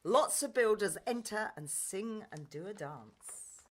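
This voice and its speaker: A woman's voice: medium pitch, animated, takes it slowly. A woman reading scripted stage directions as narrator of a radio play.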